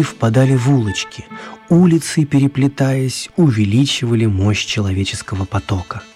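An actor playing a storyteller, narrating a children's radio tale with measured dramatic pauses. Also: male voice, medium pitch, darkish tone, natural voice. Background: music.

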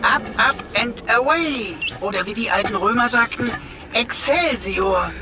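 A man's voice: very high voice, expressive, unhurried, noisy background, full character voice. A man playing a cheeky talking computer sidekick in a radio play, pompous, wordy and mock-archaic in manner. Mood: grandly cheering.

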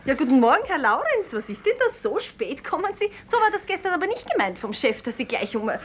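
An actress playing a chirpy, gossipy young office colleague in a 1950s German radio drama. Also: female voice, high-pitched, big pitch swings, quick pace. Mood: prim reproach. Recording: noisy 1950s radio recording.

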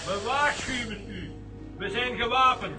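Stern flemish cop giving orders from far off, very high voice, radio play. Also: male voice, a little slow. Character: stern, commanding male local police officer. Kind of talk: radio drama acting. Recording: distant voice from off, music behind.

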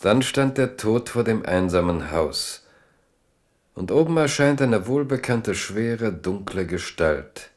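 Deep-voiced male radio drama narrator. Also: big pitch swings, slow.

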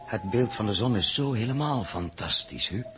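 An actor playing a questioning, sceptical male astronaut in a 1960s Dutch radio drama, halting when puzzled. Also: on the low side, expressive, music in the background, close to an everyday voice. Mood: musing. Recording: muffled-edged old 1960s radio recording.

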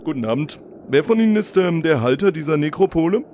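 A man's voice: a little high, expressive, quick pace, lightly acted. An actor playing a dry, officious traffic policeman with formal, bureaucratic manners in a comic radio play.

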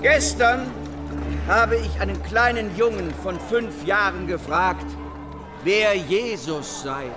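A zealous revolutionary preacher in a historical radio drama. His voice is high and he speaks slowly, declaiming to rouse his listeners. Music plays clearly underneath. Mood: fervent, rousing. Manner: declamatory, rousing, deliberate. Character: fiery 16th-century radical preacher, zealous male revolutionary.

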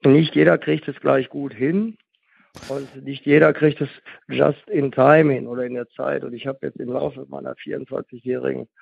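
A man's voice: mid-range voice, steady tone, moderate pace, creaky, slightly rough. A middle-aged man, explaining things as a therapist in an interview, emphatic and rambling, often repeating his key points. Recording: muffled, narrow phone-like sound with echo.

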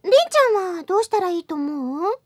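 Very high, bubbly anime schoolgirl. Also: expressive, fast talker, mellow, dry recording. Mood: earnest. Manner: exclaiming, theatrical, stammering when flustered. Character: excitable, lovestruck, bubbly anime schoolgirl radio host. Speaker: radio show co-host. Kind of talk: character radio show, reading listener letters.

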